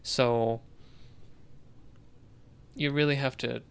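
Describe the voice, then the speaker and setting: A man's voice: mid-range voice, fairly even intonation, drawn-out words, gravelly, clear, resonant tone. A man co-hosting a casual discussion, thinking aloud a bit hesitantly as he talks. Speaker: podcast co-host. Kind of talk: casual discussion of video games.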